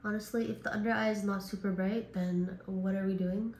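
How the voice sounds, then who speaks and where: A woman from Canada, hoarse and raspy from a cold, chatting casually as a beauty vlogger through a makeup tutorial. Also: mid-range voice, fairly even intonation, brisk, a little mellow, roomy sound. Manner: chatty, says like and honestly a lot.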